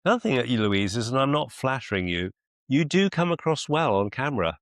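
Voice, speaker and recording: A man coaching one-to-one on a video call as a mentor, deliberate and encouraging, often repeating phrases for emphasis. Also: mid-range voice, big pitch swings, no echo, studio quality. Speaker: business mentor and clinician.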